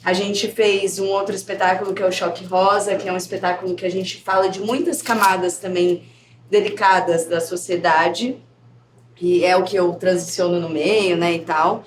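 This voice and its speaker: A woman's voice: on the low side, fairly even intonation, normal speed. Earnest woman in an echoey room. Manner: earnest, engaged, thinking aloud. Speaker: theater company member. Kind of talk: casual panel discussion. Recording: echoey room with background noise.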